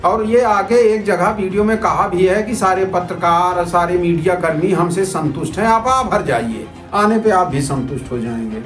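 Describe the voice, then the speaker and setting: Male citizen reporter, high voice, argumentative informal commentary, music underneath. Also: moderate pace, hardly any pauses. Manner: argumentative, repetitive, rhetorical questioning. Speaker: citizen journalist presenting a video report. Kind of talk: informal spoken commentary to viewers. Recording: roomy echo with music underneath.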